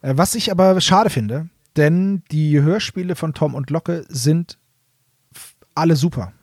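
A man with a fairly high voice talks as a podcast co-host. He chats casually and explains carefully, often rewording his points. The sound is close and very dry. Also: a little slow, darkish tone, slightly rough, no room sound. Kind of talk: casual nostalgic chat about audio dramas.

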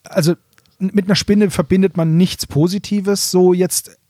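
A man's voice: high voice, steady tone, moderate pace, mellow, no room sound, studio quality. A man co-hosting a podcast, explaining casually and repeating words for emphasis. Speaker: podcast co-host.

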